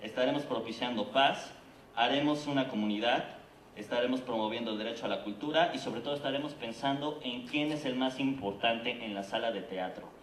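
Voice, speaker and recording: A man with a Mexican Spanish accent makes an earnest, persuasive pitch at a congress and keeps asking the room if it agrees. His voice sits at an ordinary pitch and comes from slightly off the mic in an echoey hall. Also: a little fast, far from the mic, slight background noise. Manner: earnest, persuasive, frequent "¿no?" and "¿de acuerdo?". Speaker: conference speaker presenting a theater project. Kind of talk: formal presentation at a congress. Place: conference hall.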